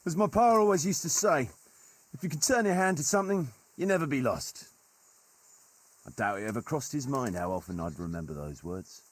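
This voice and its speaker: An actor playing a gruff, wry medieval nobleman turned mercenary leader in a video game, telling stories in colloquial, old-fashioned turns of phrase with a husky voice. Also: mid-range voice, expressive, a little fast, close to an everyday voice. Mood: wry, rueful. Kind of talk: dramatic storytelling in a video game cutscene.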